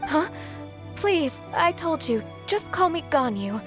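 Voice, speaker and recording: A woman voicing a gentle, shy young woman in a fantasy game, polite and hesitant. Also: high voice, sing-song, takes it slowly, exaggerated voice. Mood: flustered. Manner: soft-mannered, hesitant, polite. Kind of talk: video game voice acting. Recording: game dialogue over background music.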